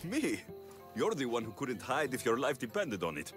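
Man playing young Greek mercenary hero, video game dialogue, dry and teasing, confident, expressive intonation, music behind. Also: medium pitch, moderate pace, lightly acted. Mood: teasing, fond.

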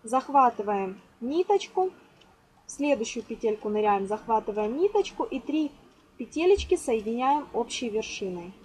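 A woman's voice: on the high side, very animated, moderate pace, darkish tone, poor audio. A woman giving an informal step-by-step craft lesson, instructing patiently with affectionate diminutive words. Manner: instructional, counts aloud, uses affectionate diminutives. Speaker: craft tutorial host. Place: indoors at a table. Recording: clear background noise, amateur home recording.

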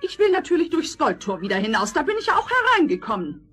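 A woman's voice: sits high, sing-song, quick pace, a bit bright. A woman playing a spoiled, foul-tempered young girl in a children's audio play, scolding and exclaiming shrilly. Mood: snippy, haughty. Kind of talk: vintage German children's radio play acting.

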